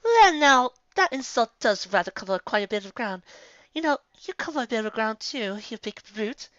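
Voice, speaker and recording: A refined lady unicorn, acted out by a story narrator, high and lilting, with prim mock politeness and cool, haughty wit. The sound is close and slightly dull. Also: sing-song, moderate pace, a bit bright, dry recording. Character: refined, haughty female unicorn, coolly witty. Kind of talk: fan fiction story character voice.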